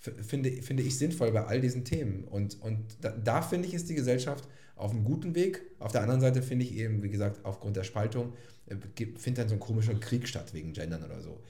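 A quick-talking man from Belgium, co-hosting a relaxed podcast and musing thoughtfully. His voice is dark and mellow, and the room around him is echoey. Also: on the low side. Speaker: podcast co-host. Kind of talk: casual chat. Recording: roomy echo.

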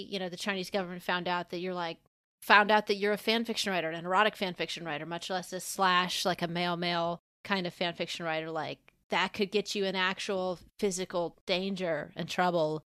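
A woman's voice: fairly low pitch, steady tone, fairly quick, pushed and bright, clear, resonant tone, no room sound. A woman co-hosting a podcast in casual discussion, thinking aloud in a rambling, informal way full of filler words. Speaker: podcast co-host.

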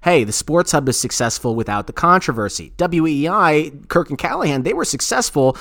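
A man's voice: a little high, big pitch swings, fairly quick, a bit bright, no echo, clean studio sound. A man co-hosting a podcast, talking casually and giving opinions in a profane, rambling way with repeated phrases. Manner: profane, repetitive, rambling explanations. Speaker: podcast co-host. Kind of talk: casual opinionated talk about sports radio.

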